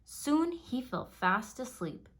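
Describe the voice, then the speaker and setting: Slow-paced woman reading a children's story. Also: on the high side, big pitch swings, a bit bright. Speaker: storybook narrator. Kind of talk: scripted children's read-aloud narration.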